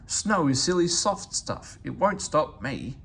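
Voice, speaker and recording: A man acts out a cheeky young male steam engine in a children's story. He is boastful and stubborn, quick to get huffy, with an animated, swooping delivery and some creak in the voice. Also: fairly high pitch, a little hiss or hum, exaggerated voice. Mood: scornful, boastful.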